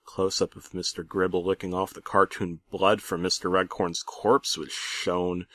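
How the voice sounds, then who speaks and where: A man narrating a comic creepypasta theatrically, swinging between hushed and loud delivery. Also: low-pitched, dry recording. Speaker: horror story narrator.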